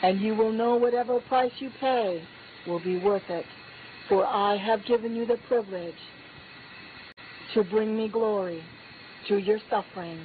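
A woman's voice: medium pitch, measured pace, long pauses. A woman speaks a prophecy in the first person as God, with a solemn, chanting cadence that climbs on each refrain. The sound comes through a narrow, phone-like line. A faint hiss sits underneath. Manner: solemn, repetitive declaring cadence, lifting on key phrases. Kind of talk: prophetic utterance spoken as God.

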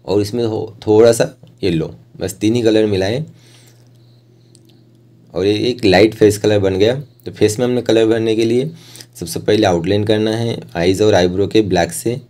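An Indian man teaches in a low-pitched voice with a dark, relaxed tone. He narrates each step informally, pausing between short phrases as he goes. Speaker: rangoli art tutor.

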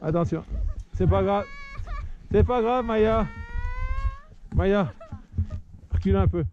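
High-voiced man coaching kids on skis. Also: takes it slowly, a little mellow. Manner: encouraging, repeating short commands. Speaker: father coaching his children. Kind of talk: shouted ski coaching while filming. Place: outdoor snowy mountain ski slope and forest. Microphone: action camera worn while skiing. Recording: dull lo-fi action-camera sound with faint wind.

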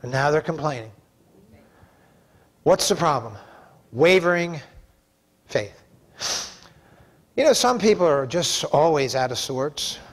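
Man, fifties, pastor, high-pitched voice, emphatic folksy preaching, conversational phrasing, church sermon. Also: animated, slow pace, long pauses. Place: church sanctuary, preaching from a pulpit.

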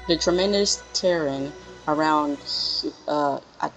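A young woman streamer reading game text aloud haltingly, correcting herself with casual asides. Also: low-pitched, muffled recording, faint music behind. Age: young adult. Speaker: gaming reaction streamer.

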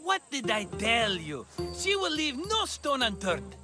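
An actor playing a middle-aged schemer in a video game, glib, theatrical and ingratiating. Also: male voice, very high voice, huge pitch swings, measured pace, music in the background, exaggerated voice. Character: wheedling, theatrical middle-aged Greek schemer, slippery and cheerful. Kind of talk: video game cutscene acting.

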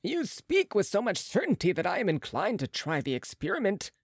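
A man voicing a cautious, courteous chief surgeon with a formal, deliberate manner in an audiobook. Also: high-pitched, wildly swooping pitch, slightly rough, dry recording, clean studio sound, pitched above the performer's own voice, full character voice. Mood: hesitant, courteous. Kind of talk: audiobook character voice.

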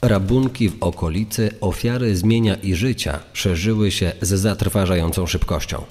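Deep male narrator in long reverb. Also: moderate pace, darkish tone. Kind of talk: scripted true crime narration. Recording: long reverb, music underneath.

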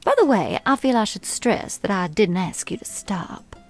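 Sugary belle, flirty drawl, voice rolls all over the place, game music faint behind. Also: female voice, mid-range voice, animated, moderate pace. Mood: teasing. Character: flirtatious Southern belle woman, sugary and teasing. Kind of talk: video game character dialogue.

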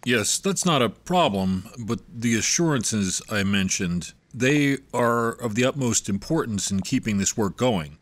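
A man's voice: mid-range voice, lively intonation, a little slow, hardly any pauses, no room sound, close to an everyday voice. An actor playing a guarded, secretive male researcher in an audio drama.

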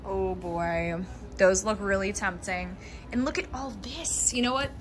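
A young woman chatting bubbly and fast to her handheld camera while shopping in a mall and candy store. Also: mid-range voice, crowd noise. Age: young adult. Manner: bubbly, chatty, quick gasps and laughs. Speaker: vlogger. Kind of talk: casual shopping vlog. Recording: noisy mall ambience.

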